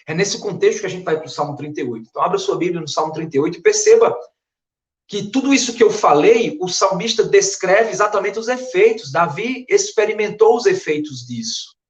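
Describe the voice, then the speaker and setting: A man preaching an earnest, emphatic Bible sermon in a Brazilian Northeastern accent, his voice hoarse from a recent flu. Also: sits high, lively intonation, a little fast. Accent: Brazilian Portuguese, Northeast. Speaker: pastor. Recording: echoey room.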